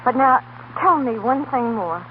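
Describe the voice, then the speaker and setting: A woman's voice: sits high, lively intonation, measured pace. An actress playing an earnest, anguished young woman schoolteacher, intense and emotional in 1930s radio drama style. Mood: gentle, insistent. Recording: noisy, tinny 1930s radio broadcast.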